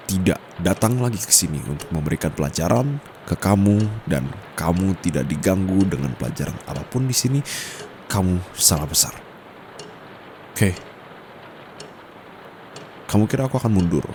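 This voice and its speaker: A stern young male tutor played by a man with an Indonesian accent and a deep, low voice. He speaks firmly and formally in an intimate ASMR roleplay style, slipping into English phrases with a dominant edge. Faint noise sits behind the voice. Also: darkish tone. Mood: stern warning. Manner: firm, scolding, formal, slips into English phrases. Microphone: close condenser microphone.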